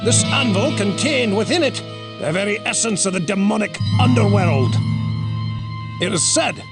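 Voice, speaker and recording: An actor playing a hearty, boastful blacksmith merchant in a video game, telling tales in an animated way. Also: male voice, high-pitched, normal speed, gravelly. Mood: awed storytelling. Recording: loud music behind.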